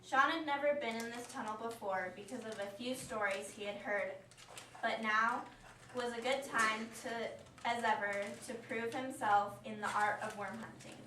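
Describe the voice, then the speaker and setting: Woman narrator, reading aloud, bright higher-pitched voice, puppet show storytelling, distant mic. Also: moderate pace. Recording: echoey room, distant mic, faint noise.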